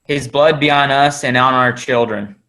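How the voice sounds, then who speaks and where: A man's voice: mid-range voice, fairly even intonation, normal speed, bright, forceful tone, natural voice, poor audio. A man playing a bold onlooker from the crowd in a scripture readers theater. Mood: defiant. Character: bold crowd member, jeering soldier and passer-by.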